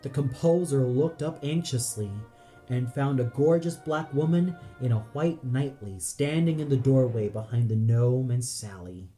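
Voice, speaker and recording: A man's voice: medium pitch, measured pace, dark tone. A man reading dramatic scripted narration for an audio play. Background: music.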